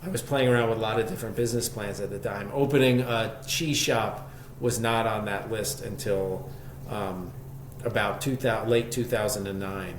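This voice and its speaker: A man's voice: fairly low pitch, steady tone, normal speed. Man telling his story, echoey room. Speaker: podcast guest, shop co-founder. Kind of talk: conversational interview storytelling. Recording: echoey room, faint background noise.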